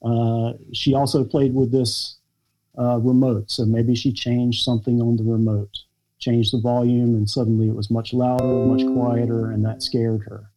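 An American man speaks as a podcast guest, his voice mellow and dark in tone. He recounts family events slowly and reflectively, pausing and restarting often. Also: medium pitch, flat delivery, soft background music, rough recording. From United States. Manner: reflective, halting storytelling with many fillers. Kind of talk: podcast interview conversation.

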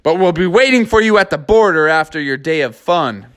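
A man's voice: on the high side, animated, brisk, a bit bright. A man voicing a friendly, easygoing male police officer with a playful mock Spanish greeting.

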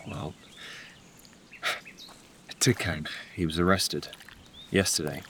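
Deep-voiced, wry man in wartime drama. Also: steady tone, very slow, a bit bright, a little hiss or hum, full character voice. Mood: hushed, serious. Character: wartime resistance man, furtive, wry and friendly. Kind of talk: naturalistic audio drama acting.

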